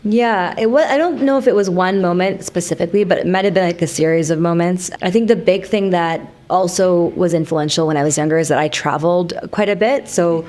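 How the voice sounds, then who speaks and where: A woman from Canada in her thirties, a guest telling her story in an interview at a thoughtful, measured pace. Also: fairly low pitch, darkish tone. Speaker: guest, company founder. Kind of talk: casual interview.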